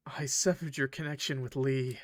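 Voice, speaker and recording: A man voicing a possessive, coldly polite villain from a visual novel, speaking formally and theatrically in measured, condescending phrases. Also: medium pitch, steady tone, dry recording, studio quality, close to an everyday voice. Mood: cold, accusing. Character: possessive, coldly polite male villain, eerie train conductor. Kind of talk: visual novel character reading.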